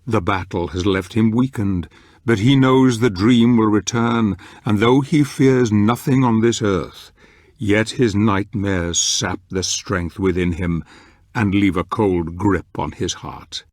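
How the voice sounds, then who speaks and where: An older man acting as a solemn, portentous and brooding narrator of a dark fantasy game, speaking in measured dramatic narration. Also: lowish voice, no room sound, clean studio sound. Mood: solemn, ominous. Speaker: story narrator.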